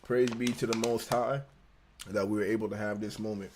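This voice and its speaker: A man's voice: fairly low pitch, fairly even intonation, a little fast, darkish tone, a little hiss or hum. A young man with a slight lisp, hosting a casual livestream and reading an engineering textbook aloud, stumbling and rereading lines. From United States. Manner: laid-back, slangy, stumbles and rereads lines. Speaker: livestream host studying engineering.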